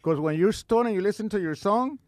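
A man's voice: sits high, lively intonation, fairly quick, a little mellow, no room sound, studio quality. A man co-hosting a casual podcast, laid-back and self-mocking. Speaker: podcast co-host.

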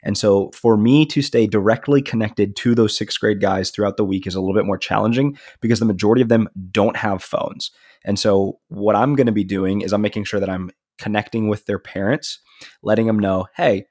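A man's voice: fairly low pitch, steady tone, fairly quick, darkish tone, no echo, studio quality. Stuffy-nosed man. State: stuffy nose, sounding nasal. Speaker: podcast co-host, student ministry leader. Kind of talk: casual podcast chat.

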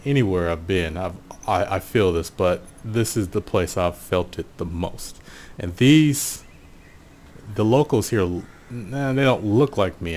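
Deep american guy talking solo, reflective and casual, takes his time, bit of noise in the background. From Portland, USA. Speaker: podcast host.

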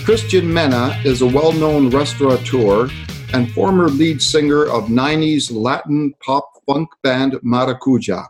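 A man hosting a podcast, reading a polished intro and asking interview questions in a measured way. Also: medium pitch, rough recording. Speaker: podcast host.

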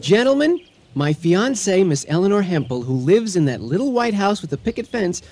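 Odd earnest fiance, polite n hesitant, voice swings around a lot, radio comedy. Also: male voice, high-pitched, sing-song, normal speed, a little mellow, exaggerated voice. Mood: courtly, proud. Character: earnest eccentric bridegroom, courtly and deluded. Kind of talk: comic radio drama acting.

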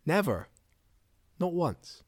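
A man's voice: fairly high pitch, very animated, slow, husky, no room sound, clean studio sound, full character voice. An actor playing a wounded young homeless man in an audio drama monologue, bitter and haunted, in short broken phrases. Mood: hurt.

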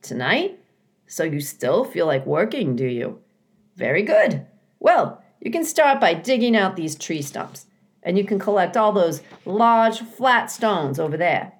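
A woman voicing a folksy, bossy but kindly male beaver builder in a children's storybook, chatty and gruff in manner. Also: fairly low pitch, very animated, a little slow. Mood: approving.

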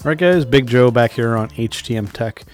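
A man's voice: mid-range voice, normal speed, faint music behind. A man in his late thirties hosting a casual solo tech podcast, rambling with restarts and filler phrases, blunt and willing to swear. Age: 30s. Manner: rambling, frequent restarts and "and whatnot"; blunt, swears when irritated. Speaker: podcast host.

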